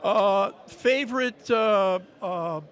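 A man's voice: high-pitched, very slow, fairly bright tone. An older man interviewing players in a folksy, teasing way on a handheld mic. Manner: folksy, joking, teasing questions. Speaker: sports interviewer. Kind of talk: friendly sideline interview. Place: indoor media backdrop at a football event.

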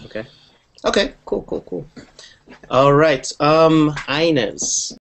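A man's voice: a little high, lively intonation, slow, fairly bright tone. A young man teaching an online English class on a video call, upbeat and encouraging, often saying cool. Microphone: earphone mic on a video call.

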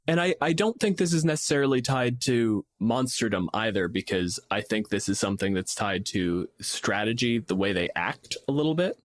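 A man's voice: on the low side, lively intonation, moderate pace, few pauses, no echo, studio quality. A man from Canada co-hosting a podcast, explaining and arguing his points casually with some swearing. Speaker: podcast co-host and game master.

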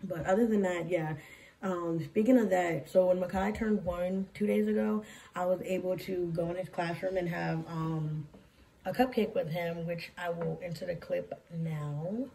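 A young woman talks straight into her phone in a small room, rambling casually with lots of fillers. Her voice is hoarse and gravelly, sitting a bit low. The mic is a little far off and has a faint hiss. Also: fairly even intonation, moderate pace, mellow. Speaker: vlogger and daycare lead teacher. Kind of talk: casual chatty life-update vlog. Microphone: phone camera at arm's length.

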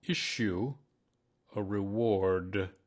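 A man voicing a pompous, long-winded owl in a children's story, with a ponderous, drawn-out delivery. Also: fairly low pitch. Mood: emphatic, instructive.

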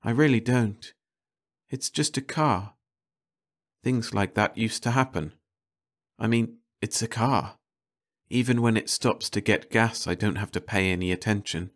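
A man's voice: fairly low pitch, measured pace, pauses often, pitched above the performer's own voice, close to an everyday voice. A man voicing an uneasy car-shop worker in an audiobook, nervously reassuring himself. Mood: nervous dread. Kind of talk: audiobook character voice.

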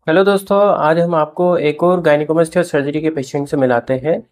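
A young man, a clinic doctor, steadily explaining a patient's post-surgery result to the camera with filler pauses in a clinic room. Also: on the high side, fairly even intonation, a little mellow.

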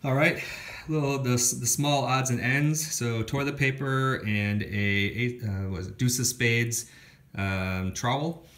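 A man's voice: medium pitch, steady tone, measured pace, a bit bright. A young man casually talking through his hiking gear, listing items with lots of ums and restarts. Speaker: hiker showing his gear. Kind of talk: casual gear walkthrough voice-over. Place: indoors at home, filming gear on a floor.

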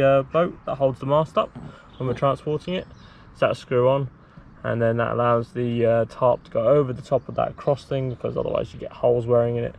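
A young man casually explaining a DIY build outdoors, talking to a handheld camera in a relaxed, rambling way. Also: mid-range voice, creaky, slight background noise. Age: young adult. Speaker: sailing vlogger. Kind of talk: casual how-to vlog. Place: outdoors in a garden, later in a shed. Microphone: handheld camera at arm's length.

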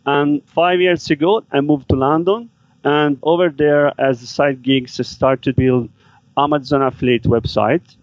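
A man answering interview questions in halting second-language English. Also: fairly high pitch, fairly even intonation, no room sound. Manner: halting non-native English with fillers. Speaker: guest, business seller. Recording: dry, dull remote call audio.

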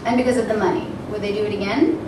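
A woman's voice: mid-range voice, steady tone, rapid-fire. A woman in her thirties reading comic fiction aloud in a staged dramatic reading. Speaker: reader at a literary event. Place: stage with curtains before an audience. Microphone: microphone on a table stand. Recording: very echoey hall, distant, clearly noisy.

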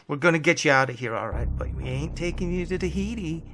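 Man playing a gruff, growling, gravelly tough guy. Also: a little high, lively intonation, fast talker, fairly bright tone, a little hiss or hum, voice pushed up. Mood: angry growl. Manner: growling, taunting. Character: gruff, aggressive, foul-mouthed male Nazi hunter, mocking.

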